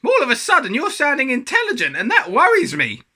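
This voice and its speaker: A man doing a cheeky schoolboy in a children's storybook reading, with a very high, bright, piercing voice. The lad's tone leaps wildly up and down as he mocks and jeers loudly. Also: huge pitch swings, a little fast, full character voice. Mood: teasing. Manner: teasing, loud and high. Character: cheeky mocking schoolboy friend.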